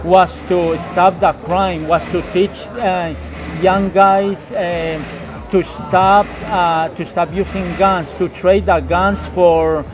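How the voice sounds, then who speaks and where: A man from Colombia who was raised in the United States, a local guide and English teacher, answering questions slowly with many fillers and repeats. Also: high-pitched, fairly even intonation, a crowd in the background. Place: outdoors on stairs in a city neighborhood.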